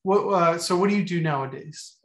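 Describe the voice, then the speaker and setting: A man's voice: a little high, brisk. Roomy-sounding man interviewing on a video call. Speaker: interviewer, music teacher. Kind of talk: casual interview. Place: home room with guitars, video call.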